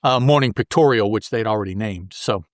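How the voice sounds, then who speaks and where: A man's voice: on the low side, brisk, dry recording. Man casually chatting about comics, dry sound. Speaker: podcast co-host. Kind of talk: casual comic book discussion.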